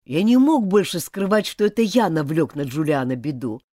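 A woman's voice: deep voice, big pitch swings, normal speed, dark tone, no room sound, studio quality. A woman reading aloud, voicing a guilty schoolboy who speaks in a dull, mournful way. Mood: ashamed. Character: guilt-ridden young schoolboy, ashamed, confessing.

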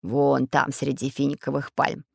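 A woman's voice: a bass voice, monotone, unhurried, gravelly, breathy edge, no room sound, studio quality, exaggerated voice. A woman voicing a learned, fussy, cautious male geography professor in a children's storybook. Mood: wary. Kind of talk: children's storybook character acting.

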